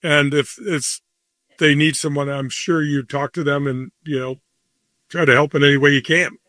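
A man's voice: a little high, fairly even intonation, normal speed, bright, forceful tone, no echo, clean studio sound. A man in his sixties hosting a casual interview, asking friendly questions that ramble and restart. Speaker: host.

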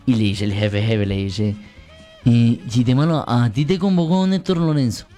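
A man with a Colombian accent telling a long joke on a radio show, in a drawn-out, playful storytelling manner. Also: mid-range voice, mellow. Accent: Colombian Spanish. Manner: drawn-out storytelling, mock complaints. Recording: radio broadcast with faint music.

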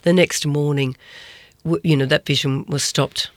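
A woman's voice: low voice, steady tone, normal speed, dry recording, clean studio sound. A woman journalist answering interview questions in a subdued, reflective way. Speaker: journalist guest.

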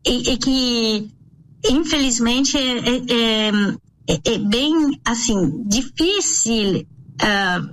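A woman's voice: on the high side, drawn-out words, fairly bright tone, a little hiss or hum, poor audio. A woman from France, a nutrition professional answering interview questions in a thoughtful way, with many fillers and restarts. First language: French.

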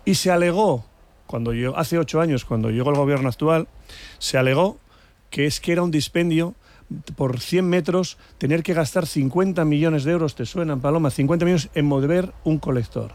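A man's voice: on the high side, normal speed, a little mellow. A man debating on a panel in an indignant, emphatic way, repeating words for emphasis. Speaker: panelist in a political discussion. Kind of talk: casual, opinionated debate.

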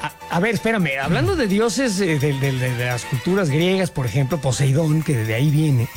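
A man's voice: fairly high pitch, sing-song, normal speed, music in the background. A man co-hosting a radio show, joking and irreverent, cursing casually. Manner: joking, irreverent, swears freely. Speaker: radio host. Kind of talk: casual comedic radio banter.

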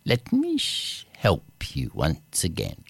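A man voicing a crooked-nosed old man who is really the king of all the cats, gruff and scolding. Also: low voice, wildly swooping pitch, takes it slowly, fairly bright tone, dry recording, exaggerated voice.

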